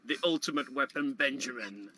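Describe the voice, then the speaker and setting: A man's voice: mid-range voice, moderate pace, noisy background. A man giving casual, explanatory live commentary on a video game, speaking informally like a speedrun commentator. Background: video game music.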